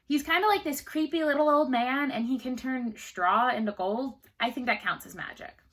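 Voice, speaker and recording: A woman's voice: sits high, normal speed, a bit bright. A woman teacher reading a story aloud to children, expressively, with chatty questions to the listeners.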